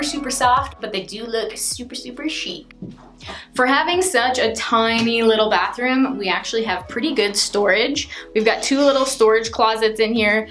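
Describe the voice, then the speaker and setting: A woman's voice: medium pitch, a bit bright. A young woman giving a casual, upbeat house tour vlog, chatty with lots of fillers. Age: young adult. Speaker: home vlogger. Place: small rooms of a house, indoors. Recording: echoey small rooms.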